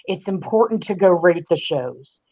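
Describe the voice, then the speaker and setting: A woman's voice: fairly low pitch, lively intonation, normal speed, dark tone. A woman speaking casually as a guest commentator, over a muffled connection. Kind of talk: casual chat.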